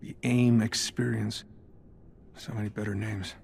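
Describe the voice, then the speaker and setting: Low, creaky, flippant inventor hero. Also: male voice, flat delivery, unhurried, darkish tone, a little hiss or hum. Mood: dry. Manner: flippant, wry. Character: glib, teasing male inventor hero. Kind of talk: video game cutscene acting.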